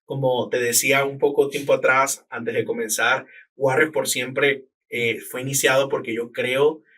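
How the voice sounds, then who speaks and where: Male podcast host, mid-pitched voice, chatty with interjections, heard slightly away from the mic. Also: level tone, bright, forceful tone. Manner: conversational, quick interjections. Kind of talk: casual interview talk. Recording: voice somewhat far from the mic.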